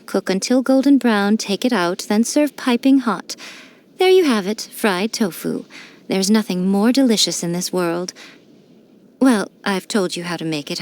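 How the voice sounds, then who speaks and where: An actress voicing a sly, elegant fox-spirit shrine priestess in a game, teasing and playfully condescending. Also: female voice, mid-range voice, darkish tone, lightly acted. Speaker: game character. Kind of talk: studio game voice lines.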